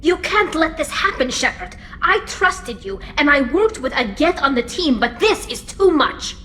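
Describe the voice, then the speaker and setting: A bright, earnest young engineer. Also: female voice, sits high, very animated, normal speed, slight background noise, full character voice. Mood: pleading. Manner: earnest pleading speech. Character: young alien engineer woman, loyal and emotional. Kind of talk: video game voice acting.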